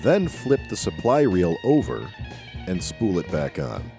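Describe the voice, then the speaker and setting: A man's voice: deep voice, sing-song, unhurried, music in the background. A man presenting an instructional video in scripted narration.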